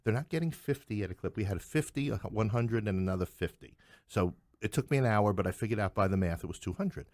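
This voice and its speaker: Deep-voiced wry man telling stories. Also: lively intonation, fast, a little mellow, dry recording, clean studio sound. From New York City. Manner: wry, joking asides. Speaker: playwright and podcast guest. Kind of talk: conversational interview storytelling.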